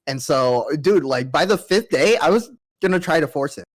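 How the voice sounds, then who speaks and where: A man's voice: fairly high pitch, lively intonation, normal speed. A young man with a nasal voice, telling a trip story in a laid-back, swearing way on a podcast. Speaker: podcast host. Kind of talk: casual chat.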